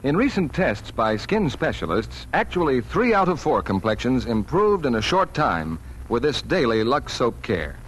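Polished, lively 1940s radio announcer man. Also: medium pitch, normal speed, few pauses, low-quality recording. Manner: polished, persuasive. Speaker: commercial announcer. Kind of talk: 1940s scripted radio advertising. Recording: old radio recording, faint noise.

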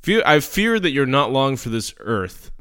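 Man, improv comic, teasing and bossy, crisp bright voice. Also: medium pitch, big pitch swings, normal speed. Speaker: improv player. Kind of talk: improv comedy banter.

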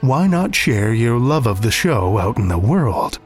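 A man plays the dramatic, playfully spooky host of a horror podcast. His medium-pitched voice swoops up and down with lots of movement, and music runs underneath. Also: big pitch swings, unhurried. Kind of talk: scripted theatrical podcast hosting.

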